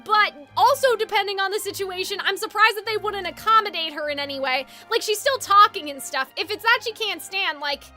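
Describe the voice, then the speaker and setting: A young woman giving casual, excitable live reaction commentary as a video game streamer, talking informally. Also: extremely high pitch, fairly quick, hardly any pauses. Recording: game music behind voice. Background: game soundtrack and dialogue.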